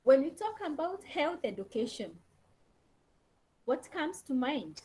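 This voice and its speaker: A woman's voice: high-pitched, very animated, unhurried, darkish tone. A woman lecturer teaching an online class over a laptop, repeating key phrases and questions to her students. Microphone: laptop on a video call.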